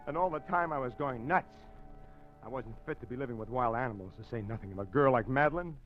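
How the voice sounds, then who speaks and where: A man's voice: medium pitch, expressive, soft background music, natural voice. An actor playing a stammering con man. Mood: tense. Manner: nervous, halting, stammering. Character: conflicted male con man in love. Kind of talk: 1940s radio acting. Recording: dull old radio broadcast.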